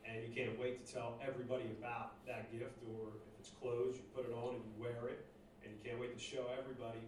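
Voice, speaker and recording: A man from Northeast Philadelphia giving a halting personal testimony to a church congregation, full of fillers and restarts. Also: medium pitch, little pitch movement, a little mellow. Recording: echoey room, distant mic, faint noise.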